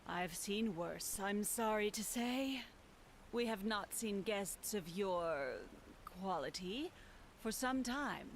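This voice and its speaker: Slow, prim manor housekeeper. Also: female voice, medium pitch, expressive, slight background noise. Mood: prim, courteous. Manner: formal, unhurried, primly polite. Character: courteous, evasive female housekeeper of a mysterious manor. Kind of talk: scripted fantasy game dialogue.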